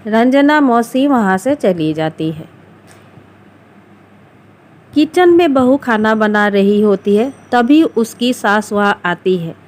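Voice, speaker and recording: A woman's voice: fairly high pitch, animated, takes it slowly, a little mellow. A woman narrating a scripted family moral story as a storyteller.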